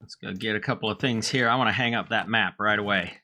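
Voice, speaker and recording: A man with a slight head cold, narrating his own game playthrough in a friendly, relaxed way. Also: fairly low pitch, fast. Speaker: let's play host. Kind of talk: gameplay commentary.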